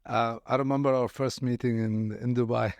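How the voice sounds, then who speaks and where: A man's voice: medium pitch, level tone, moderate pace, a little mellow, dry recording. Courteous male podcast host, dry sound. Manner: courteous, repeats words while searching for phrasing. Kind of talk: podcast interview.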